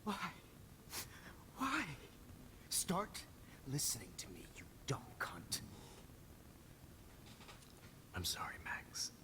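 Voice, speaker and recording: An actor playing a smug, menacing teacher and photographer villain in a video game, with a calm, condescending, gloating delivery. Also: male voice, mid-range voice, slow, in a whisper. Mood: taunting, irritated. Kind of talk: dramatic video game acting.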